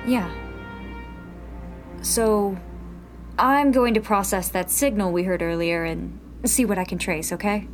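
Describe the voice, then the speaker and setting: A wary, pragmatic rebel woman played by an actress in a sci-fi radio drama. She speaks slowly, in a curt and exasperated way, over faint music. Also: mid-range voice, natural voice. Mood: reassuring. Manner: curt, exasperated, matter-of-fact.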